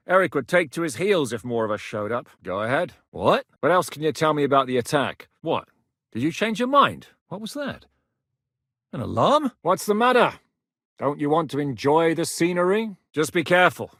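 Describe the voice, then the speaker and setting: A man's voice: a little high, fairly bright tone, dry recording. An actor playing a grizzled male war captain in a medieval video game, blunt, commanding and dryly sardonic, recorded in a studio booth. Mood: advising. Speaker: mercenary leader in a medieval game.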